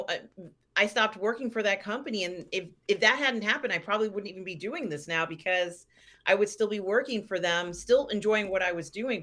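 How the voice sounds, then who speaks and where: A middle-aged woman co-hosting a show, chatting reflectively in a measured, deliberate way with pauses. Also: fairly low pitch, bright, forceful tone. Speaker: co-host of a fan live show. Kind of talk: casual reflective chat.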